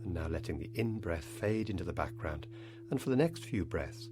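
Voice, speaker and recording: Male meditation guide, low register, measured phrases with generous pauses, resonant tones playing underneath. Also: expressive, music in the background. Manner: unhurried phrases with long pauses.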